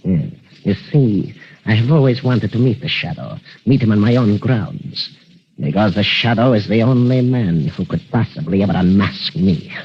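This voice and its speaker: Low-voiced, swooping mad inventor. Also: male voice, expressive, normal speed. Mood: boastful menace. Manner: theatrical, mock-courteous, gloating. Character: mad, vain, vengeful male inventor feigning a hunchback. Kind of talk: old-time radio melodrama acting. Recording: muffled, noisy vintage radio recording.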